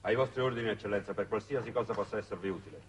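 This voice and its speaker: An actor playing an obedient spaceship captain in a 1960s television drama, formal, crisp and dutiful. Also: male voice, fairly low pitch, rapid-fire, natural voice. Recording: distant, noisy old television soundtrack.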